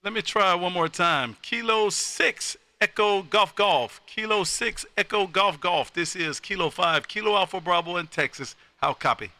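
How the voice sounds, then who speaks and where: An American man hosting amateur radio contacts on a live stream, loud, exuberant and folksy, often repeating his phrases. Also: high voice, moderate pace, hardly any pauses, background noise.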